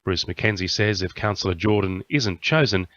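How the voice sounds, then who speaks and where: Low-voiced Australian male newsreader. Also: normal speed, fairly bright tone, no echo, highs cut off. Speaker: news reader. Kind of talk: scripted news bulletin reading.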